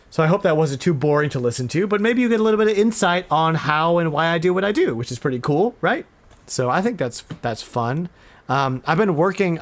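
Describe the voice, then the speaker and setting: A middle-aged man chatting casually as the host of a podcast. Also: mid-range voice. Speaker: podcast host and retro game store owner.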